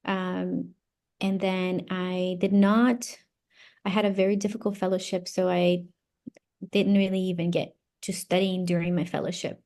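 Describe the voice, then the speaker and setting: A woman from Brazil, a native Portuguese speaker, giving casual interview answers and haltingly thinking aloud. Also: on the low side, little pitch movement, gravelly, a little mellow. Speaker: interview guest, psychology doctoral graduate.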